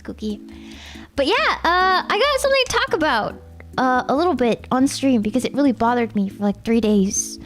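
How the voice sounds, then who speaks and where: Chatty high-voiced Canadian woman streamer. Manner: chatty, rambling, addresses viewers as Cookie. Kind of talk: casual chat with chat readers.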